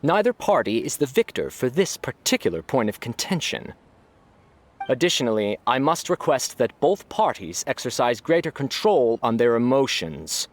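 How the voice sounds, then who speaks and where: A man voicing an impartial arbiter who rules on a debate in a measured, formal way. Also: mid-range voice, big pitch swings, natural voice. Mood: neutral. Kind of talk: game voice acting.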